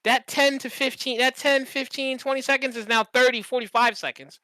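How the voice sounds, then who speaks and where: Podcast co-host, bright voice, dry sound, casual comedic storytelling, animated, sweary. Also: sits high, moderate pace, no echo. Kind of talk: casual comedic chat with acted-out examples.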